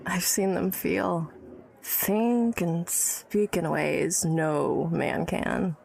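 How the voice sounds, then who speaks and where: A woman's voice: fairly low pitch, drawn-out words, creaky, a little mellow, breathy edge, full character voice. An actress playing an educated, tipsy, wry woman of early-1900s New York in a period audio drama, teasing and guarded. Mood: boastful, tipsy. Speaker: storyteller recalling her childhood.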